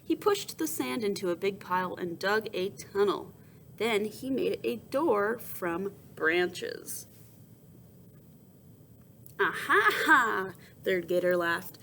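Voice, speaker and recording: A woman reading a children's storybook aloud in an expressive, dramatic storytelling manner. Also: mid-range voice, slow, creaky, slight background noise. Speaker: storybook reader.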